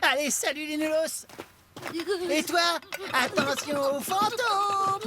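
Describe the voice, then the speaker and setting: High-pitched, sneering kid bully. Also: female voice, takes it slowly, heavy background noise. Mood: taunting. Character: sneering child bully, smug and taunting. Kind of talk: animated cartoon dubbing. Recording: music behind the voice.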